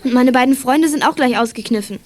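High-voiced schoolgirl detective, played by a female. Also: quick pace, noisy background, lightly acted. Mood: coaxing. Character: gentle, animal-loving schoolgirl detective. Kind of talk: children's radio play acting.

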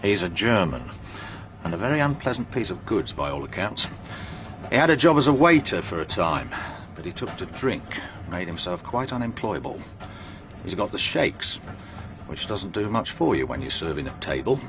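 A man's voice: low voice, very animated, normal speed. An actor playing a matter-of-fact English chief inspector in a radio drama, businesslike and dry as he reports the facts. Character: matter-of-fact middle-aged British police chief inspector.

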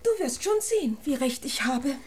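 A woman's voice: high voice, very animated, normal speed, darkish tone. A woman playing a haughty, easily offended fairy-tale princess in a children's radio drama, theatrical and pompous. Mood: condescending.

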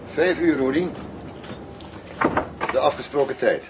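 A man's voice: measured pace, lightly acted. A man playing an unflappable, curt and commanding undercover agent in a radio drama. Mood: cool, defiant. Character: cool, defiant undercover agent posing as arms buyer. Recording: noisy radio play, vehicle rumble.

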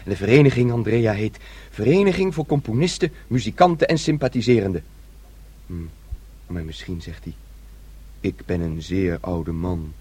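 Childlike dreamer of a son, slightly rough voice, swooping intonation, excitable, radio drama. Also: male voice, lowish voice, moderate pace. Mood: musing, excited.

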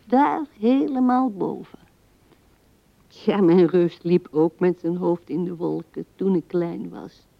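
An actress playing an old widow who muses tenderly and haltingly to her dead husband in a radio play, often sinking to a whisper. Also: on the low side, gravelly, dry recording. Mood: tender reminiscing. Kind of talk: intimate radio play monologue. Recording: dull, dry vintage radio recording.

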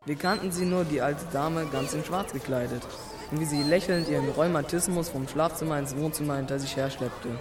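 A man's voice: a little high, brisk, music in the background, a crowd in the background, lightly acted. An actor playing a man reminiscing about his schooldays, narrating in a literary radio play. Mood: nostalgic.

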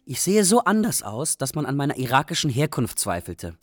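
A medium-pitched male refugee telling his life story for a radio drama. His delivery is wry and matter-of-fact, an everyday storytelling voice. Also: sing-song, a little fast, no room sound, studio quality. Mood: wry, reflective. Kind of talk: scripted radio drama narration.

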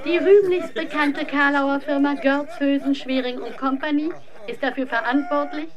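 An actress playing the formal chronicler of an imperial cruise, reading scripted narration in an announcing manner for a radio play. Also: female voice, high-pitched, steady tone, moderate pace, highs cut off, natural voice. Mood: mock-official.

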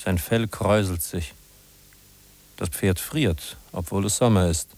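A man's voice: low-pitched, a little slow, darkish tone. A man acting as the narrator of a 1950s German radio drama, an urgent, musing guide who addresses the listener with emphatic rhetorical questions. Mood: grave, intent. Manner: rhetorical, emphatic, questioning then answering himself.

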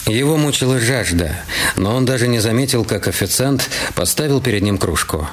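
A man's voice: mid-range voice, expressive, normal speed, lightly acted. A man narrating a literary detective story in an audio drama production. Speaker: narrator in a radio play. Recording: music under the voice. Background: music.

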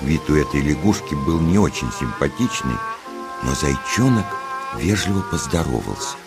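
A man tells a children's nature tale in an extremely deep voice. His storytelling is expressive, with animated rises and falls. Music plays clearly behind him along with the sound of rain. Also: normal speed.

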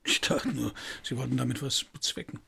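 An actor playing a weary, flirtatious middle-aged private detective in a radio crime drama, speaking in a laconic, dry, intimate way with a gravelly, husky voice. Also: male voice, medium pitch, a little fast, bright, forceful tone, full character voice. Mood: tender, wry. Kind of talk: German radio crime drama, dialogue and first-person narration.

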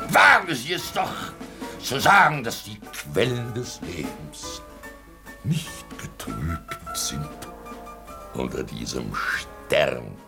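An actor in a German radio play playing a drunken, down-at-heel lawyer who rambles, preaches bitterly and slides into slurred muttering. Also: male voice, very deep voice, gravelly, exaggerated voice. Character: drunken, down-at-heel Russian lawyer, bitter self-styled prophet.

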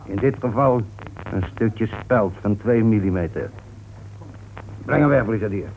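An actor playing a methodical, confident police inspector in a radio detective drama, speaking formally and deliberately, with an accusing manner. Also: male voice, lowish voice. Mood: accusing, triumphant. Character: methodical, confident male police inspector closing a murder case. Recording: tinny, noisy old radio recording.

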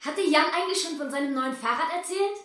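A woman's voice: high-pitched, fast, a bit bright. An actress voicing a chatty, cheerful young girlfriend who is oblivious to the tension around her.

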